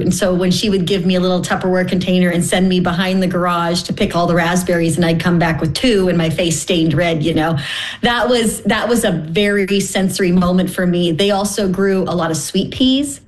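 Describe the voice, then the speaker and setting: A middle-aged woman from Canada telling personal stories as an interview guest, candid and gently self-deprecating. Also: lowish voice. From Winnipeg, Canada. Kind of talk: personal storytelling in conversation.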